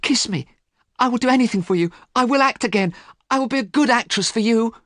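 A man's voice: sits high, sing-song, normal speed, a little mellow, slightly rough, dry recording, clean studio sound, voice pushed up, full character voice. A man voicing an earnest, lovestruck young actress in an audiobook story. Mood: desperate pleading.

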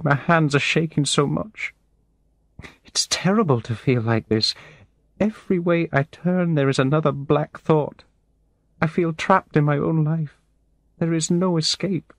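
A middle-aged woman on the edge of breakdown, played by a man reading a story. The voice is lifted high and shakes with emotion as it confides and pleads. The sound is close and dry. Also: darkish tone, slightly rough, no room sound, heavily acted. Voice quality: shaky voice. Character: distraught, weeping middle-aged woman, trapped and despairing.

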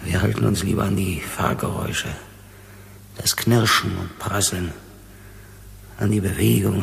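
A gravelly, embittered space miner. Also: male voice, low voice, full character voice. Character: rough, proud, embittered miner on Venus, male. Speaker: actor. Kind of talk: German radio drama acting.

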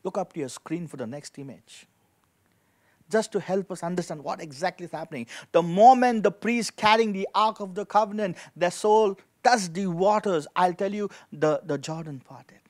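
A man's voice: high-pitched, normal speed. A man in his forties preaching a sermon as a pastor, reading scripture and repeating key phrases with emphasis into a handheld microphone. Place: indoor studio with dark backdrop, at a lectern.

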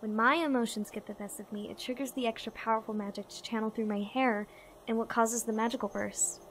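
A woman playing an earnest magical unicorn in animated fan drama dialogue. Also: fairly high pitch, brisk, poor audio. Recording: faint background noise.

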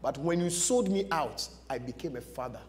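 Guy preaching, kinda high voice, big echoey church with music behind, very dramatic and emphatic. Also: animated, moderate pace. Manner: emphatic, repeats short phrases, acts out dialogue. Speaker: pastor preaching. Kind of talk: dramatic storytelling sermon. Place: large church stage before a seated audience. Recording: music behind, long hall reverb.

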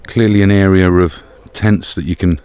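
A man's voice: deep voice, fairly even intonation, moderate pace, a little mellow, smooth, dry recording. A middle-aged British man chatting casually to camera as a travel vlogger, outdoors at a campsite. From Britain. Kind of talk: casual vlog chat to camera. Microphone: camera at arm's length. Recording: outdoor camera audio with background noise.

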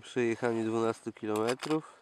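A man talking casually and jokingly in a deadpan way, as an expedition cyclist filming a travel vlog outdoors. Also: medium pitch, normal speed. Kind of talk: casual, tongue-in-cheek travel vlog talk. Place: outdoors on a frozen lake in wind. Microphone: camera microphone. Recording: noisy windy outdoor camera audio.

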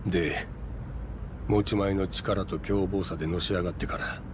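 A tough, sly middle-aged male yakuza from a game cutscene, played by a male actor. He has a low voice, a Kansai accent and a drawling, insinuating politeness. Car noise is clear behind him. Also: fairly even intonation, moderate pace, close to an everyday voice. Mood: dry, explanatory. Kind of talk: video game cutscene acting. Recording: noisy vehicle ambience.

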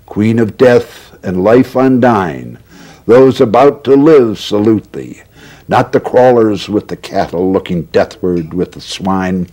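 Older man, slow solemn recitation, low voice, lapel mic in a studio. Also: mellow. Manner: measured, solemn recitation, voice dropping at line ends. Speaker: guest reading a poem. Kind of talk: slow dramatic poetry reading. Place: TV studio with curtain backdrop.

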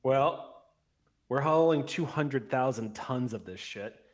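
A man's voice: mid-range voice, takes it slowly. A man playing a casual, foul-mouthed crewman on a space freighter in an online roleplay, blunt and informal. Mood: practical. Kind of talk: improvised online tabletop roleplay.

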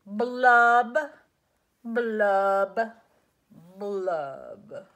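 A woman voicing a gloomy, grumpy male fish in a storybook read-aloud, with a slow, mopey, droning delivery. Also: medium pitch, animated, fairly bright tone, slight background noise, exaggerated voice. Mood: glum.

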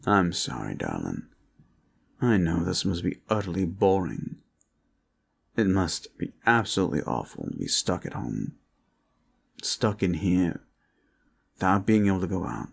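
A man performing an intimate audio roleplay as a protector, speaking in a reassuring, courteous, measured way. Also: low voice, lively intonation, creaky. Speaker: roleplay voice actor, playing a gentle protector.

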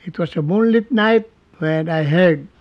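An elderly man with a Filipino accent, telling his wartime memories in an interview in a bedroom, with animated gestures. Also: fairly high pitch, measured pace, muffled recording. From Philippines. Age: older. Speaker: war veteran interviewee. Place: bedroom indoors.

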